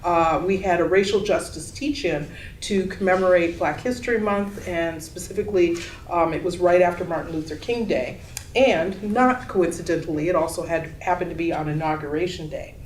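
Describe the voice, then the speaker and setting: A woman artist and teacher speaking in a measured, articulate, explanatory way during a panel discussion. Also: fairly low pitch. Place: gallery room, picked up from a distance. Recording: echoey room, distant mic, background noise.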